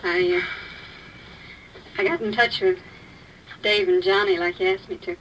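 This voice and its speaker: A woman's voice: mid-range voice, steady tone, a little slow, fairly bright tone, lightly acted. A woman acting the part of a reluctant informant in a vintage radio police drama. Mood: soothing, solicitous. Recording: muffled, distant vintage radio with light noise.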